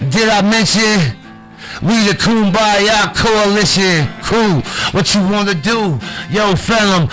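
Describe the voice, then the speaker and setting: Swaggering slangy Chicago host, lively pitch. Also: male voice, rough recording. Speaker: livestream show host. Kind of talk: loose improvised banter.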